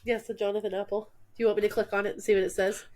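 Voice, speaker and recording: A woman's voice: mid-range voice, level tone, very fast, warm tone. A woman co-hosting a casual podcast, stuffed up from allergies, reading names and facts aloud with dry, wry remarks. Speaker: podcast co-host.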